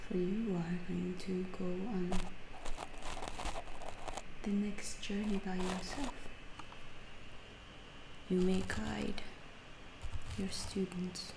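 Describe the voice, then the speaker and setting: A woman voicing a calm, gentle alien researcher in an ASMR roleplay, soft and breathy, often whispering, with formal, measured phrasing and detached curiosity. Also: medium pitch. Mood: calm, instructive. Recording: clearly noisy background hiss.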